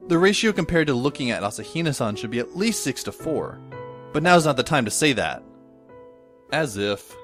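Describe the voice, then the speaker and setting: A man's voice: mid-range voice, lightly acted. A man playing a sardonic, exasperated teenage schoolboy who narrates and acts his own story in an amateur audio drama, wordy and dry. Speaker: narrator and lead character. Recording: faint music behind the voice. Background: faint music.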